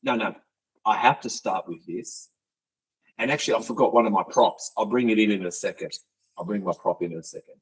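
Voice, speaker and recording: A man's voice: lowish voice, a little fast, breathy edge, rough recording. A man hosting a podcast, joking and teasing his guest in casual chat. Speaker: podcast host.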